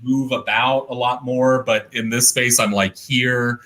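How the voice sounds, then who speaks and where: A young adult man with a deep, resonant voice, talking casually and thoughtfully over a video call, with fillers and restarts. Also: steady tone, unhurried, sharp tone. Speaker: artist guest. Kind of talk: casual conversational interview.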